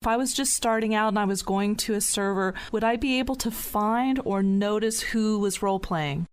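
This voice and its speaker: A woman's voice: mid-range voice, moderate pace, smooth. A woman hosting a panel podcast, putting questions to guests in a conversational style. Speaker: podcast host.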